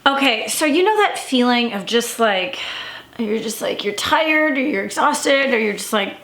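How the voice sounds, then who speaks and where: A woman's voice: fairly high pitch, lively intonation, normal speed, fairly bright tone, reverberant, slight background noise, poor audio. A woman talking to camera in a casual daily vlog, rambling with many fillers and restarts, a little stuffy and congested. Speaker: vlogger and coach.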